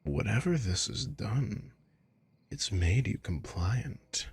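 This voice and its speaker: A man playing a sadistic, controlling villain in an ASMR roleplay, breathy and husky, speaking with slow, condescending, taunting deliberation. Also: lowish voice, lively intonation, a little mellow, heavily acted. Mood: condescending, controlled. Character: sadistic, controlling male villain toying with a captive.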